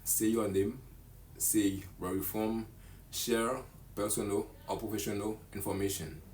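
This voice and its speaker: A man's voice: lowish voice, flat delivery, darkish tone, slight background noise. A young man, carefully teaching a beginner English lesson to camera in a small room. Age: young adult. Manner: slow, careful, deliberate delivery. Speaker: English teacher, channel host.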